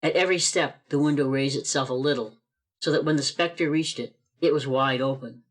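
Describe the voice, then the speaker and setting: A man's voice: mid-range voice, a little fast. A man narrating a radio play as a scripted storyteller.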